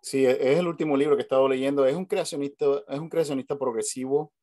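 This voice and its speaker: A man's voice: medium pitch, fairly even intonation, fast, mellow, no room sound, clean studio sound. A man with a Puerto Rican accent, hosting a casual video call chat, talking hesitantly with many fillers and restarts. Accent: Puerto Rican Spanish. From Puerto Rico. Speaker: host. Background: family noise at home.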